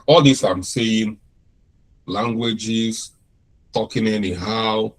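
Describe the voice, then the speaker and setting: Slow-talking Nigerian man lecturing. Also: on the low side, husky, poor audio. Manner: unhurried, repeats and restarts phrases. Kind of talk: informal classroom lecture.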